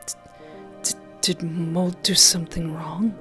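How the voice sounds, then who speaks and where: A woman's voice: on the low side, steady tone, a bit bright. Woman doing a creepy obsessed mold guy from a visual novel, drags his words, pleading, music behind. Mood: timid, hurt. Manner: halting, pleading, refers to himself in third person. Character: needy, obsessive male mold creature, menacingly devoted.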